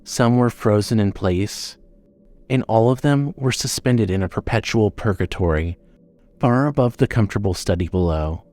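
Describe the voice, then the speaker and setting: A man's voice: on the low side, normal speed, darkish tone, soft background music. A man narrating a scripted horror story with dramatic storytelling delivery.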